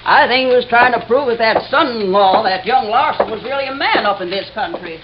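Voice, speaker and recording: A woman's voice: on the high side, expressive, brisk, highs cut off, close to an everyday voice. Folksy old backwoods woman, acted, noisy vintage radio. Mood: folksy concern. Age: older. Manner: folksy, motherly, fussing. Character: kindly, sprightly old backwoods lodge wife, bossy. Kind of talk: 1940s-50s radio drama acting. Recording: noisy, band-limited old radio recording.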